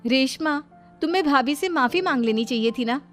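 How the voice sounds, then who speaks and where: A woman's voice: sits high, expressive, brisk, faint music behind, pitched above the performer's own voice. A woman voicing an earnest, sensible young elder sister in a cartoon family drama. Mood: reasoning. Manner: earnest, explaining.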